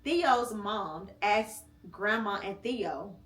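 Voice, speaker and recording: A woman reading a picture book aloud at home with her child, gentle and encouraging, stopping to prompt him. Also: mid-range voice, animated, extremely slow, echoey room. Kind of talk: read-aloud storytime and casual chat.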